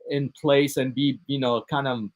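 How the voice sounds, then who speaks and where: A man from the United States, a DJ and producer, talking casually in an interview, relaxed and rambling with many fillers and restarts. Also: medium pitch, moderate pace. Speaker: guest DJ and producer.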